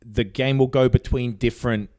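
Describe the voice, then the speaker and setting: A man's voice: mid-range voice, steady tone, normal speed, darkish tone, no echo, studio quality. A man hosting a video game podcast, chatting casually and colloquially, acting out little quoted asides as he goes. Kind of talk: casual chat.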